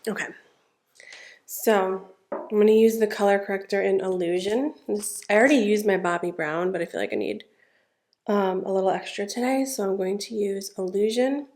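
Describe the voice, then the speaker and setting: A woman's voice: mid-range voice, moderate pace. Stuffy-nosed woman chatting through makeup. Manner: chatty, relaxed, narrating each step with fillers. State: stuffed-up nose. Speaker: beauty vlogger. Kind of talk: casual makeup tutorial narration.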